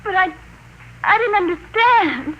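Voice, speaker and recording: A woman's voice: very high voice, lively intonation, measured pace, lo-fi sound. An actress playing a frightened, naive young woman pleading anxiously in 1950s radio melodrama style. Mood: fearful, guilty. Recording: noisy band-limited old-time radio recording.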